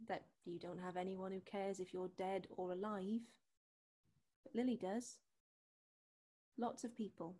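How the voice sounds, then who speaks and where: A woman acting in a drama scene as a worried, determined sister, insistent and scornful, with a British accent. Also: a little high. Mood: pressing, accusing. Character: worried, determined sister confronting a drug dealer. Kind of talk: acted scene from a drama showcase.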